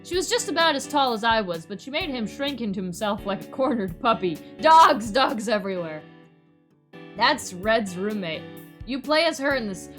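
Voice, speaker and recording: A woman's voice: a little high, sing-song, normal speed, a bit bright. A playful, theatrical woman streaming a visual novel reading with chatty, exclamatory commentary. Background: music.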